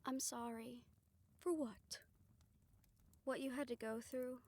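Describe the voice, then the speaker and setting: Woman acting hushed, remorseful female scientist, higher-voiced. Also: fairly even intonation, slow. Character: remorseful female scientist haunted by her past experiments. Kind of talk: soft, intimate audio roleplay acting.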